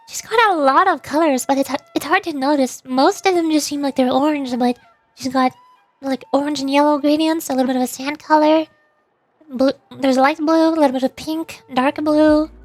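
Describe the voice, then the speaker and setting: A woman game streamer talking casually in chatty, expressive, rambling asides. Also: high voice, no echo. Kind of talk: livestream voice acting of game dialogue with casual commentary. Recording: music in the background. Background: game music.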